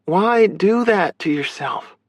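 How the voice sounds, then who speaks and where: Audiobook big sister, played by a man: lifted pitch, frank, earnest, caring, emotionally open. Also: animated, slow, no echo, heavily acted. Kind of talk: audiobook character dialogue.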